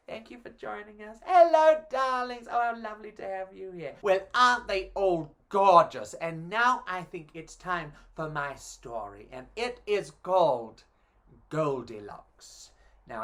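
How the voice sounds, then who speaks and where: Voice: mid-range voice, a bit bright. British children's presenter, theatrical, effusive, chatty, playful pantomime delivery, exaggerated emphasis. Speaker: storyteller, presenter of a children's story time. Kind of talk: children's storytelling to camera, playful pantomime chat.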